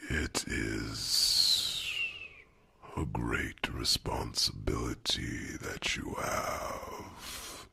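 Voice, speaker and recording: A man voicing a gentle, wise, protective dragon in an ASMR fantasy roleplay, speaking deliberately in a gravelly, breathy half-whisper with musing hums. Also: a bass voice, heavily acted. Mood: musing, content.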